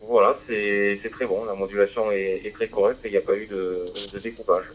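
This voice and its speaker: A man's voice: on the low side, flat delivery, a little fast. A man talking over a ham radio link, hesitating with many fillers and spelling call signs phonetically. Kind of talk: radio contact over the air. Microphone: handheld radio microphone, decoded by a receiver. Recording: tinny narrowband digital radio with faint hiss.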